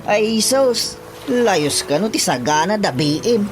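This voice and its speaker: Lively, cajoling village colleague. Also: male voice, high-pitched, slow, music in the background. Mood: coaxing. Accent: Ilocano. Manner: coaxing, teasing. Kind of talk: Ilocano radio comedy drama acting.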